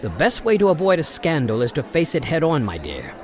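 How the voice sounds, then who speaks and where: A man's voice: on the high side, huge pitch swings, brisk. An actor playing a blustering, dismissive father who scoffs and speaks brusquely, in an old-time radio drama.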